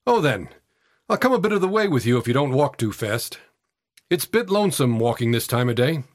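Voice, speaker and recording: A man voicing a frail eighteen-year-old tramp boy, cocky, weary and defiant, with husky, breathless, colloquial working-class speech. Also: mid-range voice, very animated, brisk, no echo, clean studio sound, close to an everyday voice. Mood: chatty, casual.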